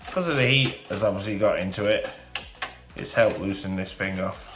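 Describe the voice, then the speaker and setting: A man, hoarse from a cold, chatting casually through a hands-on repair as channel host. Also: low-pitched, normal speed. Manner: relaxed, chatty, talks through each step. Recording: roomy echo with faint music.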